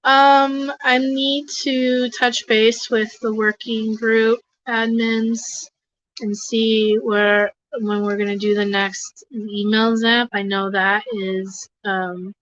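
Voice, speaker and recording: A hoarse, congested woman hosting a livestream, rambling casually with lots of fillers and restarts. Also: a little high, flat delivery, slow, fairly bright tone, dry recording, dull audio. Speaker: host of an advocacy livestream. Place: home desk.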